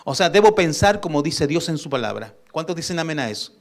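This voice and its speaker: A man's voice: a little high, sing-song, fast. A man preaching a sermon as pastor. He puts questions to the congregation and draws out key words for weight. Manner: emphatic preaching that asks the congregation for responses; swings between loud emphasis and soft asides.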